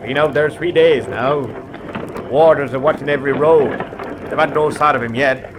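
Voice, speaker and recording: A man's voice: mid-range voice, normal speed, close to an everyday voice. An actor playing a respectful, matter-of-fact rural coachman in a radio drama, giving terse, deferential replies. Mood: matter-of-fact, wary. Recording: noisy outdoor ambience behind.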